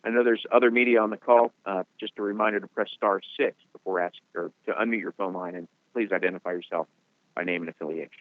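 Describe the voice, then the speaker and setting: Brisk, lowish male call moderator. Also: steady tone, no room sound. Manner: businesslike. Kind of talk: procedural announcements. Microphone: telephone. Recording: phone-like conference line.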